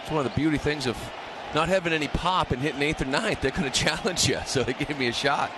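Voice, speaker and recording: A man's voice: on the high side, quick pace, crowd noise, background noise. A man working as a color commentator, giving casual, self-deprecating baseball analysis on the radio. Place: ballpark broadcast booth.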